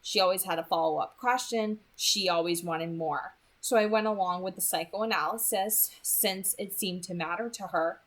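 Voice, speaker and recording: A woman's voice: on the low side, normal speed, hardly any pauses, fairly bright tone. A woman reading a novel aloud as a hobby audiobook narrator, telling the story expressively.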